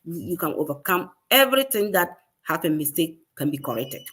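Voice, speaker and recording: A woman's voice: deep voice, sing-song, brisk, a bit bright, husky. A woman from Ghana with a Ghanaian accent, talking earnestly and with frequent restarts as a guest in a casual business conversation. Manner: earnest, repeats herself, many restarts.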